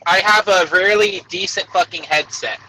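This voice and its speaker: A man with a high, shrill, bright-edged voice trades crude jokes and swears freely. He talks from inside a truck cab through a Bluetooth headset, so the sound is tinny, with faint engine noise underneath. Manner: crude, swears constantly, quick laughs. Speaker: podcast co-host calling in. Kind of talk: crude casual banter. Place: truck cab while working.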